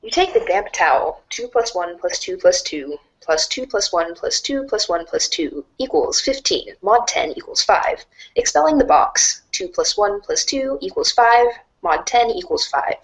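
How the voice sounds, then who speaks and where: A woman reading narration aloud in an animated storybook style for a reader's theater. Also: mid-range voice, unhurried, poor audio.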